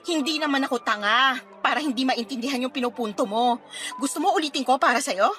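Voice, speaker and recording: A woman's voice: high voice, a little fast, a bit bright, soft background music. An actress with a Filipino accent playing a hurt, angry young girlfriend, confrontational and sarcastic, in a radio drama. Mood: indignant.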